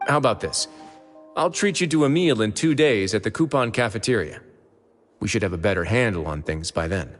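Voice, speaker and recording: A man voicing a composed, dry-humored warden with a calm, wry way of talking, in a video game. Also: fairly low pitch. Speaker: game character. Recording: game audio with long reverb and faint music.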